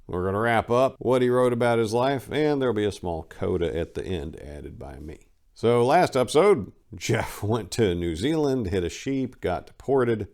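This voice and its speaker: A man's voice: lowish voice, a little mellow. A man reading an autobiography aloud in a conversational, emphatic, dramatic audiobook style. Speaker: reader of an autobiography he edited.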